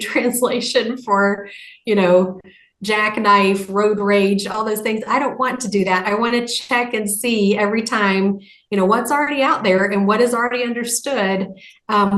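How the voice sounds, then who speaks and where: A woman's voice: medium pitch, a bit bright, reverberant. A woman on a translation panel, explaining her work in an earnest way. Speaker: translation agency guest. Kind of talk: casual panel discussion.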